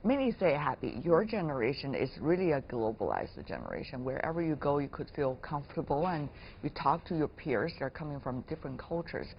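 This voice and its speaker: A woman hosting an interview, putting questions in a measured, deliberate conversational way. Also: low voice, very animated. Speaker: interviewer, host. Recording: dull lo-fi sound with clear noise.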